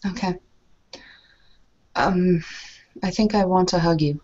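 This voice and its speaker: Soft, hesitant woman roleplaying. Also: lowish voice, slow, highs cut off. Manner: soft, hesitant, many ums. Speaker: tabletop roleplay player. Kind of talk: casual roleplay talk.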